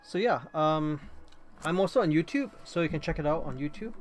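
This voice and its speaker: A man's voice: a little high, expressive, a little fast. A man chatting casually and commenting as he live streams a game, rambling a little and repeating phrases. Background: faint noise, keyboard typing.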